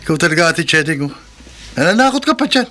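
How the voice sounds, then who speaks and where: An actor playing a skeptical, frustrated husband in a horror radio drama, expressive and exasperated. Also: male voice, a little high, quick pace. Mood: scoffing, dismissive.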